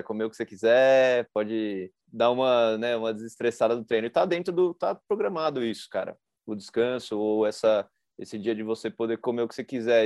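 A Brazilian man hosts the conversation in a chatty, slangy, enthusiastic way, tossing in casual asides. His voice sits at an ordinary middle pitch. The sound is dry and close-miked. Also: a little mellow, no echo. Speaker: podcast host. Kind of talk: casual interview chat.

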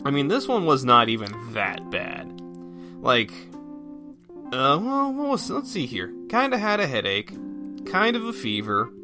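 A man's voice: mid-range voice, wildly swooping pitch, a little slow, over music. A man talking casually on his own as a podcast host, thinking aloud in a folksy, self-effacing way. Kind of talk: casual solo rambling chat.

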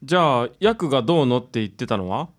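A man's voice: mid-range voice, animated, quick pace, natural voice. A man playing a laid-back part-timer. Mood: casual.

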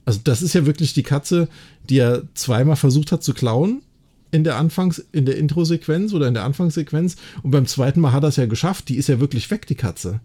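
A man's voice: a little high, fairly quick, dark tone. A man co-hosting a podcast, retelling and discussing a story in a chatty, colloquial German style. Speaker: podcast co-host. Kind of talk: casual discussion and retelling of an audio drama plot.

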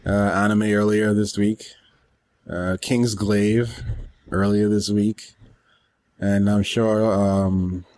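A man co-hosting a podcast, chatting casually in a rambling, halting way. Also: fairly low pitch, little pitch movement, a little mellow, dry recording. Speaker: podcast co-host. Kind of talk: casual chat about anime and games.

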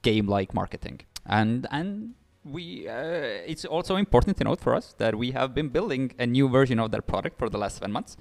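A man's voice: mid-range voice, animated, a little fast, gravelly, hardly any pauses, a little mellow. A young man from Estonia, founder of a startup and speaking as an English speaker, explaining ideas eagerly with many fillers and restarts. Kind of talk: interview guest.

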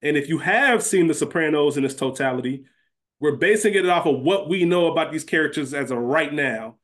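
A man's voice: on the high side, a little fast, fairly bright tone. A man hosting a podcast, chatting casually about television in an informal, slangy, conversational way. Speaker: podcast host.

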